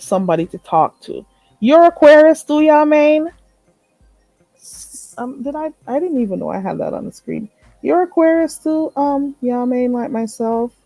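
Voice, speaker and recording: A woman's voice: mid-range voice, dark tone, no echo, low-quality recording. Woman chatting on a late night stream, stuffy nose, a bit nasal, keeps repeating herself, dry sound. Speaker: live stream host. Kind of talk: late night live chat with viewers.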